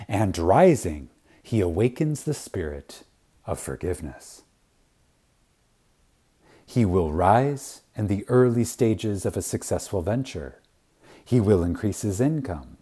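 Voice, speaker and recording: A husky-voiced man gives a dramatic reading of a spiritual lecture. His pitch rises and falls widely, and he moves very slowly, leaving deliberate pauses and letting phrases fade softly at the ends. The delivery is reverent and full of emphasis.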